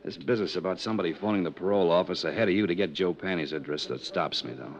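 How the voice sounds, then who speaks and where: An actor with an American accent playing a big, gruff, competent police lieutenant, plain-spoken and probing, in 1950s radio drama style. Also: male voice, sits low, rapid-fire. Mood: probing, skeptical. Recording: muffled, noisy old radio recording.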